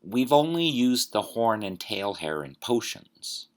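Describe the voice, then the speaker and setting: An anxious, curious young boy wizard voiced by a woman speaks in a hushed, lowered voice, slowly and softly. His lines are plain questions for a storybook scene. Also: sing-song, full character voice. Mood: curious, uneasy. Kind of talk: storybook reading.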